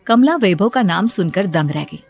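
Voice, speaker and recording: Woman narrating a story over soft music. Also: mid-range voice, expressive, moderate pace, vocal fry, slightly rough. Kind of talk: scripted moral story narration.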